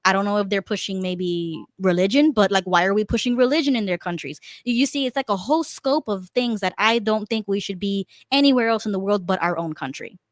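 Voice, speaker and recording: A woman's voice: mid-range voice, no echo, clean studio sound. A woman in a casual political discussion, talking animatedly with restarts and laughs. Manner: animated, laughing, repeats herself. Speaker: panelist.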